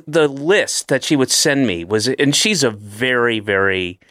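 A man in his late fifties chats casually as a podcast host, wandering through stories with self-mocking asides. His voice sits at an ordinary pitch. The sound is close and dry, with no room around it. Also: lively intonation, a little slow, a bit bright, dry recording. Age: 50s. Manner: rambling, self-deprecating, trails off and restarts. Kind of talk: casual chat.

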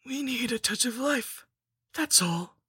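A cruel, ancient undead sorceress from a dark fantasy audiobook. Her voice is pitched high and husky, sweetly menacing and gloating, recorded close and dry. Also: lively intonation, unhurried, dry recording, full character voice. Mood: coaxing, gloating. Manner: mock-sweet and menacing, hissing outbursts.

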